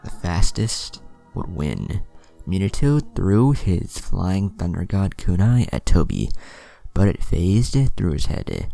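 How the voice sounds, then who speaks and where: Casting: man for dramatic story narration, bassy with heavy vocal fry, lively intonation. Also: slow pace, hardly any pauses, faint music behind. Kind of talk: scripted dramatic fan-fiction narration.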